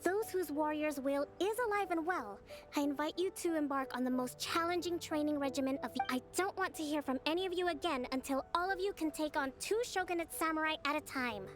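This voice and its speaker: An actress playing a gentle, dignified young priestess and military leader in a video game, speaking in formal, measured phrases. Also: female voice, high voice, close to an everyday voice. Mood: firm, authoritative. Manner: formal, measured, composed diction. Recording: game music behind the voice.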